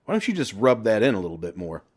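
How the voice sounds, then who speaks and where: A man's voice: lowish voice, very animated, quick pace. Exasperated middle-aged husband, read by a man. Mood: defeated. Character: defeated, irritated middle-aged husband, defensive and anxious.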